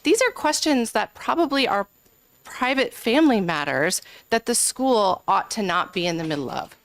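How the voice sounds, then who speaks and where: A woman's voice: on the high side, lively intonation, moderate pace, no echo. A woman legislator presenting an amendment at a committee hearing, speaking earnestly and arguing her case with frequent ums. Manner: earnest, argumentative, many filler ums. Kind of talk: committee testimony.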